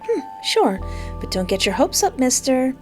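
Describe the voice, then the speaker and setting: A woman's voice: high-pitched, sing-song, normal speed, pitched above the performer's own voice. A woman voicing a bashful, teasing female artist on a date in a visual novel, with a light, coy delivery. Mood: playful protest. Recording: music behind.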